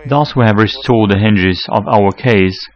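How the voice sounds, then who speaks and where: A man in his forties giving a measured, scripted how-to explanation as a technical consultant. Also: fairly low pitch, muffled recording. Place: electronics workshop, indoors.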